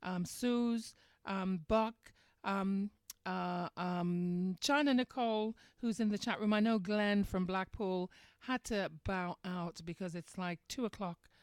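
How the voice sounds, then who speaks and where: A woman's voice: medium pitch, takes it slowly, clean tone, dry recording, studio quality. A woman hosting a live internet radio show, talking conversationally and putting questions to her listeners. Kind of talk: live internet radio commentary, addressing chat room. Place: radio studio.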